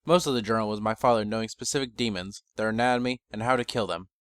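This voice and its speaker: A man's voice: fairly low pitch, fast talker, fairly bright tone, clean tone, no echo. Man narrating a story, dry close sound. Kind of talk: scripted first-person story narration, dramatic reading.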